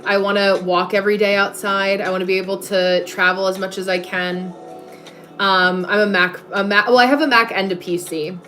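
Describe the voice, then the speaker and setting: A woman's voice: medium pitch, fairly bright tone. A woman hosting a livestream in a casual, chatty way, replying to comments and breaking off to greet viewers. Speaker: livestream host. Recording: music playing behind the voice. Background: music.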